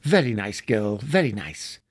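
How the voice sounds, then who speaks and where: A man's voice: fairly low pitch, sing-song, a little slow, breathy edge, dry recording, studio quality, voice pushed down, exaggerated voice. A man voicing Scrooge, a joyful old miser. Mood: eager, effusive. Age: older. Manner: eager, effusive, generous. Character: reformed old miser, elderly man, giddy with joy.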